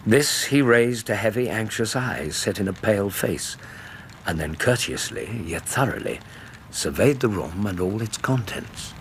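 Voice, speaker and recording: A Victorian English gentleman recounting a tale for radio drama. His voice is very deep, his phrasing eloquent and measured. A faint wash of stormy ambience sits behind him. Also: slight background noise. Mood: measured, intrigued. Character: Doctor Watson, gentlemanly Victorian male narrator and loyal friend. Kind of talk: dramatic literary radio narration.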